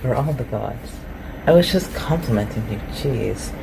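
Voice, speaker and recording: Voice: mid-range voice, lively intonation, moderate pace, gravelly, background noise. A performer in an ASMR roleplay voicing an ancient, reclusive shapeshifter, haughty and awkward, with formal, archaic phrasing. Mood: impatient, grudging. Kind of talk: ASMR roleplay, scripted one-sided dialogue.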